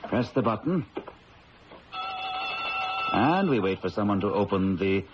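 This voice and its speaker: Desperate diamond manager spilling his story, lively voice, muffled old radio w/ hiss. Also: fairly low pitch, a little slow. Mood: brisk. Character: worn-out male diamond firm manager, desperate and confiding. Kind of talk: 1970s radio drama acting.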